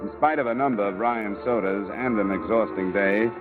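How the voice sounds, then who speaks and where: Male actor as hard-boiled investigator narrator. American, low, clipped, weary; old muffled radio sound. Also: normal speed, over music, natural voice. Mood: dry, narrating. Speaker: lead actor in a radio detective serial. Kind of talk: 1940s-50s radio acting, first-person narration.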